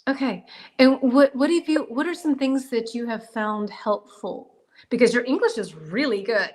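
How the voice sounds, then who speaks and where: A woman's voice: a little high, moderate pace, darkish tone. A woman hosting as an English teacher, upbeat and encouraging with her guest. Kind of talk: encouraging interview chat.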